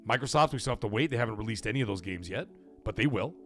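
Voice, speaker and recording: A man's voice: on the low side, animated, very fast, soft background music. A man hosting a gaming livestream, rambling casually and answering viewers from the chat by name. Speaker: livestream gaming show host.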